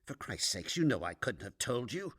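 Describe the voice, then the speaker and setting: Placating, evasive corporate executive, lively intonation. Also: male voice, mid-range voice, moderate pace, breathy edge. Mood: defensive, placating. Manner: placating, defensive, hesitant. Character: smooth evasive corporate executive, the departing lover. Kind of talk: comedic radio drama acting.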